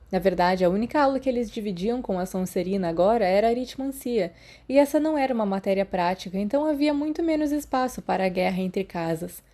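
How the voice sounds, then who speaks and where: A woman's voice: mid-range voice, dark tone. A woman with a Brazilian accent narrating a story from a script as an audiobook reader, with the odd small stumble.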